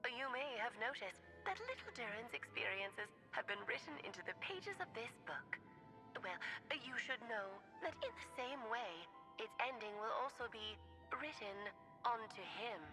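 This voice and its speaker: A woman's voice: a little high, expressive, moderate pace, natural voice. A woman playing a playful mage in a game who addresses the players in a teasing, theatrical way. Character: playful adult female mage, teasing storyteller. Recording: game voice over loud music.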